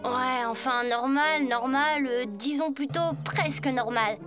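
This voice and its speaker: Give me a good-natured cartoon schoolboy, high and boyish, talking conversationally over loud music. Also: female voice, slow. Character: good-natured, level-headed schoolboy hero, reluctant to show off. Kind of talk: French animated series dubbing.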